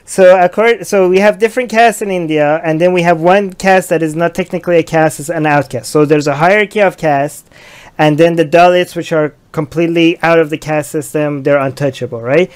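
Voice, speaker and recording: Clear-voiced young man hosting a livestream. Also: a little high, a little fast. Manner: reads viewer comments aloud and reacts. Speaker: livestream host. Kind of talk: casual live-stream discussion. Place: small room indoors.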